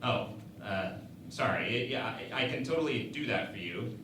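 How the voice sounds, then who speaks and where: A man's voice: medium pitch, lively intonation, normal speed, sharp tone, far from the mic. A man playing an earnest, put-upon holographic assistant in a comedic radio play, polite, hesitant and a little whiny. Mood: uneasy. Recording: echoey room, off-mic, noisy.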